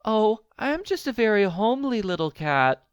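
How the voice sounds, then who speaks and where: Man doing a high-voiced meek little kitten. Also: very animated, normal speed, smooth, no echo, lo-fi sound. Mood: humble. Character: small homely kitten, humble and meek.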